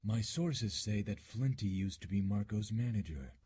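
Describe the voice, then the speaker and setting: Husky man acting a dogged radio detective. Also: lowish voice, expressive, normal speed, warm tone. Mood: pressing. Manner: blunt, pressing interrogator. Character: dogged 1940s police detective, world-weary man. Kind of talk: 1940s radio drama acting.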